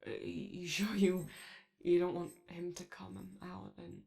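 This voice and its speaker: A woman's voice: fairly low pitch, flat delivery, unhurried, creaky, dark tone. A woman voicing an anxious, kind-hearted daycare robot in a hesitant, rambling, apologetic ASMR roleplay. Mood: hesitant, uneasy. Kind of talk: ASMR character roleplay, soft conversational.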